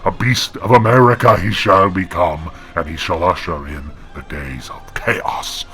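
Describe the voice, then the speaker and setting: A man voicing a wrathful, commanding angel in an audio drama, with a metallic, inhuman, gravelly voice and solemn, archaic prophetic delivery. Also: sits low, fairly even intonation, a little slow, a bit bright, faint music behind. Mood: grave, ominous. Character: wrathful, commanding male angel possessing a man's body. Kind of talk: creepypasta-style audio drama.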